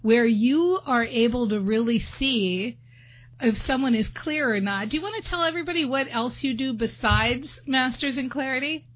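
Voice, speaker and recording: A woman's voice: fairly high pitch, moderate pace, muffled recording. A woman hosting an interview, enthusiastic, with a coaching tone. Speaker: interview host. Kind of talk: podcast interview.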